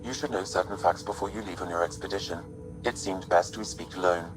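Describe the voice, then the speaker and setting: Man voicing a deep, formal artificial intelligence. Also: music in the background, close to an everyday voice. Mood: calm, informative. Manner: measured, formal, precise. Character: calm sentient male artificial intelligence companion. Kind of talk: video game cutscene dialogue.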